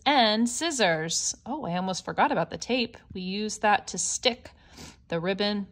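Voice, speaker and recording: A woman reading a picture book aloud to young children, explaining simply like a teacher, with expressive stretched words. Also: medium pitch. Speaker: storybook read-aloud presenter.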